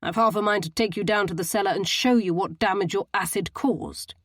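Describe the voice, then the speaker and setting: A woman's voice: mid-range voice, a little fast, no room sound, clean studio sound, close to an everyday voice. A woman reading a stern, severe man. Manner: severe, pressing, disdainful.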